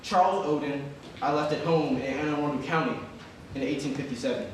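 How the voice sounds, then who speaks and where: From far off in a very echoey hall, a man plays a solemn freedman searching for his family. His voice is fairly high, and he reads the old notice aloud in a formal, measured way. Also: fairly even intonation, slight background noise, close to an everyday voice. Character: solemn freedman seeking his lost relatives. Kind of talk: dramatic reading of a historical ad.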